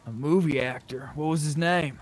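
A man improvising in character over game voice chat, blunt and quick to swear. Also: a little high. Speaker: online roleplay game player.

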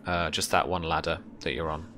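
A man in his thirties narrating a tabletop game as game master, with a hesitant, halting delivery. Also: extremely low pitch, flat delivery, background noise. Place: home room, indoors.

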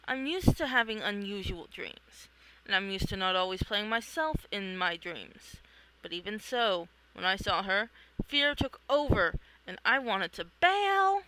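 A performer voicing an excitable, blunt and wary young superhero in a comic read-along. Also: medium pitch, unhurried, a little hiss or hum, rough recording. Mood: musing.

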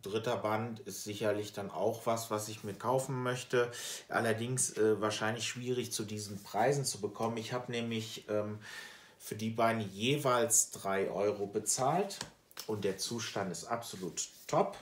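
A middle-aged man, chatting casually and haltingly to camera as a video host. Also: on the low side. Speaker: comic collector and video host. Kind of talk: casual chat to camera, showing purchases. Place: small room at home with shelves. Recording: roomy, echoing indoor sound.

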